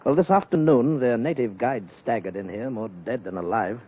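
An actor playing a brisk, courteous British colonial police lieutenant in an old radio adventure. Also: male voice, medium pitch, lightly acted. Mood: brisk briefing. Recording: muffled old radio recording.

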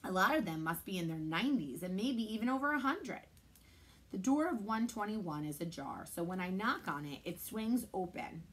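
A woman in her thirties, a children's librarian, reading a chapter book aloud in a steady, measured way. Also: mid-range voice, husky. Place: indoor library room.

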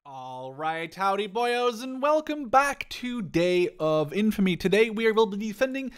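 A man's voice: sits high, very animated, measured pace, clean tone. A man in his twenties giving casual, reactive commentary while playing an online shooter with a friend. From Netherlands. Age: 20s. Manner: upbeat intro, then chatty and reactive while playing. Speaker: gaming YouTuber.

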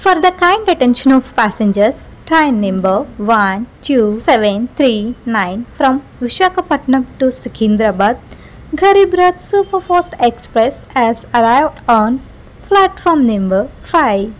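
Woman acting as female station announcer, Indian accent, high-pitched, formal, drawn-out delivery, vlog imitation. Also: sing-song, exaggerated voice, rough recording. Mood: formal, measured.

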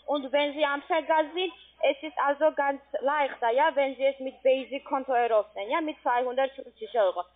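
A woman's voice: sits high, fairly even intonation, normal speed, hardly any pauses. A woman with a foreign accent in German, selling over the phone as a call centre agent and often tagging statements with a checking question. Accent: foreign, non-native German. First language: not German. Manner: frequent checking tag questions. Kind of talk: phone sales pitch. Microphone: telephone. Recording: muffled narrow phone line.

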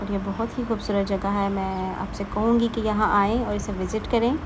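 A woman's voice: mid-range voice, little pitch movement, moderate pace, noisy background. A woman narrating a casual travel vlog, chatty and keen to recommend places to her viewers. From Pakistan. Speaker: travel vlogger.

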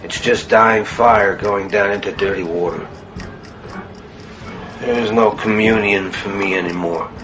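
A man's voice: on the low side, a little slow, long pauses, close to an everyday voice. An actor playing a weary, grieving priest losing his faith in a radio play, brooding and bleak. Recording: dull, noisy radio drama recording.

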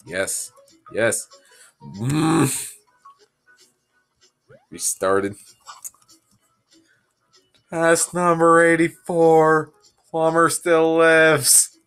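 A young man giving goofy, self-mocking commentary while playing a game, sick and sounding deeper than usual. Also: very slow, creaky, long pauses, fairly bright tone, clean tone, faint music behind. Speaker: gamer. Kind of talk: casual gameplay commentary.

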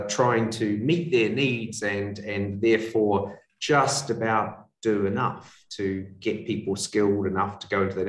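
A man's voice: on the low side, fairly bright tone. A man with a New Zealand accent speaking as a guest on a video call, explaining his work. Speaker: college executive, guest speaker. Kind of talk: explanatory webinar talk. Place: indoor room, on a video call. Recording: roomy, distant video-call microphone.

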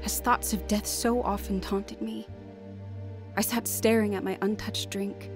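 A woman's voice: a little high, natural voice. An actress playing a troubled woman haunted by fear of death, earnest and anguished in a radio drama. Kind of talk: radio drama acting with first-person narration.

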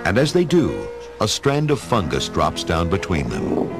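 A man's voice: low voice, wildly swooping pitch, measured pace, vocal fry, over music. A man narrating a story dramatically as the scripted narrator of a radio play.

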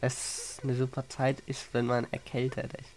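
A man's voice: mid-range voice, monotone, unhurried, darkish tone. A man with a cold and a runny nose, chatting plainly as he explains his gameplay. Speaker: Minecraft YouTuber. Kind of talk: casual gameplay commentary.

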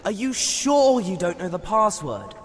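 A terse schoolboy wizard, high lively voice, slow, noisy game audio. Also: male voice. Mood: plain. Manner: terse, plain. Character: teenage boy wizard student, blunt.

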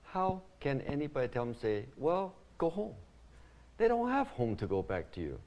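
A man's voice: medium pitch, normal speed, highs cut off. A middle-aged man from Taiwan, a community founder, answering interview questions earnestly in an ornate living room. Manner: animated, gestures with hands while explaining.

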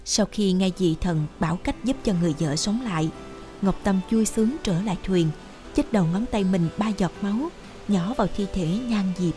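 A woman's voice: mid-range voice, normal speed, warm tone. Woman narrating a fairy tale over music. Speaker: storyteller narrator. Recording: music behind the voice.

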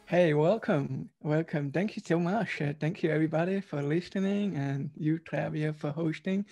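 A man's voice: on the high side, moderate pace, dark tone, soft background music. A man in his thirties from Switzerland, a podcast guest telling his life story over a video call, hesitant with many fillers. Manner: hesitant, many uhs, slightly non-native phrasing. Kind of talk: personal storytelling interview. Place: home room, video call.

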